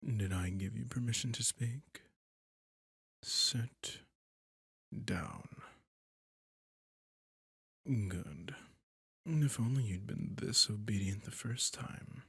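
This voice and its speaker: A man playing a gravelly, whispering vampire master. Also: fairly low pitch, fairly bright tone, no echo, clean studio sound, full character voice. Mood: coldly cruel. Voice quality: husky, gravelly, often whispered. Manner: slow, purring, domineering. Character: possessive sadistic male vampire master, cruelly seductive. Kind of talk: ASMR roleplay audio.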